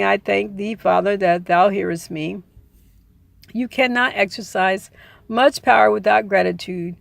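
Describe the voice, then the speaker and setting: A woman narrates a self-help audiobook in a medium-pitched voice with a noticeable creak. Her phrasing is deliberate and emphatic, moving between read text and informal commentary. The sound is close and dry. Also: no echo. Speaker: audiobook reader adding personal commentary.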